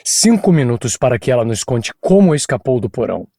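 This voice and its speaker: A man voicing an insistent police chief who questions a witness in a firm, measured way. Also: medium pitch, big pitch swings, mellow, no room sound, clean studio sound. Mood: probing.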